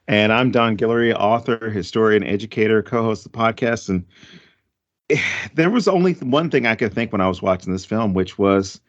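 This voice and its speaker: A man co-hosting a podcast who trades deadpan wisecracks. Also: lowish voice, normal speed, dull audio. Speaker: podcast co-host. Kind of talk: casual podcast chat.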